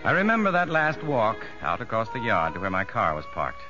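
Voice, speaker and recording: An actor playing a kindly, sincere prison psychologist, measured and well-spoken, in a 1950s radio drama. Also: male voice, fairly low pitch, wildly swooping pitch. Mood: reflective. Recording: dull vintage radio recording with music.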